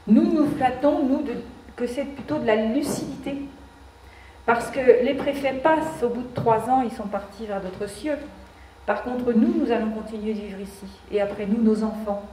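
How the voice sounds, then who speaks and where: A woman's voice: a little high, normal speed, warm tone. A woman addressing a public meeting, earnest with ironic asides and frequent restarts. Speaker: association speaker at a public meeting. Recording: echoey hall, distant mic, faint background noise.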